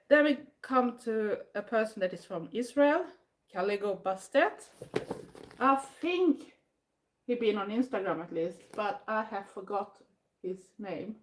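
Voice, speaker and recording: An older woman from southwest Sweden, a native Swedish speaker, chatting casually in English with a Swedish accent, pausing often with fillers and restarting her sentences. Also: medium pitch, a little hiss or hum. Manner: hesitant, many fillers and restarts, non-native phrasing. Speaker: vlogger. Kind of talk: casual chat.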